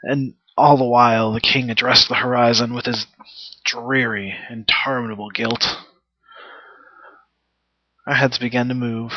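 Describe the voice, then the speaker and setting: A man playing a wounded, indignant travelling stage actor in a theatrical monologue, emphatic and deliberate with long pauses. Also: mid-range voice, bright, forceful tone, no room sound. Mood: haunted, hushed. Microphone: headset. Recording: muffled, dull headset recording.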